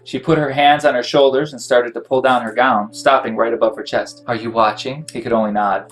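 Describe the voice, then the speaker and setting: A man's voice: mid-range voice, low-quality recording. A man narrating a scripted horror story as the game master of a tabletop role-play. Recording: music playing behind the voice.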